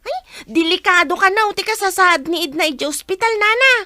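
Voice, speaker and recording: An excitable, pleading village woman in a radio play. Her Ilocano-accented voice is extremely high and piercing, recorded close and dry. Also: fast, dry recording, exaggerated voice. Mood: agitated. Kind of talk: radio comedy-drama acting.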